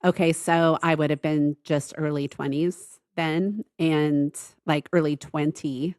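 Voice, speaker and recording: A woman's voice: deep voice, steady tone, takes it slowly, darkish tone, no echo, clean studio sound. A woman in her fifties, co-hosting a family podcast, telling stories in a rambling way and correcting herself as she goes. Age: 50s. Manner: rambling storytelling with self-corrections. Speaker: podcast co-host, mother. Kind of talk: casual family podcast chat.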